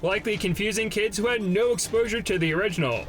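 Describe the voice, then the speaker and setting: A man's voice: sits high, quick pace, fairly bright tone. A man reading a scripted video-essay review with a dry, sarcastic delivery. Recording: music behind the voice.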